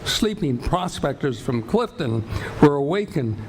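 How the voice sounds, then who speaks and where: A man playing the storytelling narrator of an Old West ghost tale, with measured scripted delivery. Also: fairly high pitch, big pitch swings, a little hiss or hum, poor audio. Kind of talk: scripted radio theatre narration. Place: senior center, live stage performance. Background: loud music.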